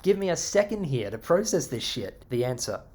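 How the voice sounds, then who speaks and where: An Australian man casually answering viewer comments, animated and sarcastic with plenty of swearing. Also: medium pitch, fairly quick. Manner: animated, expressive, sarcastic and profane.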